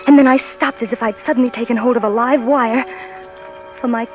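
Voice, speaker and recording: Actress as a high-voiced, frightened young wife. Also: female voice, fairly even intonation, a little fast, darkish tone, close to an everyday voice. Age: young adult. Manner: stammering, breathless narration. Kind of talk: 1940s radio acting. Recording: old radio broadcast with music.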